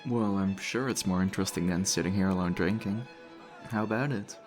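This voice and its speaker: Low-voiced, flirtatious vampire host played by a man. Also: fairly quick, close to an everyday voice. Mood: modest, courteous. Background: background music.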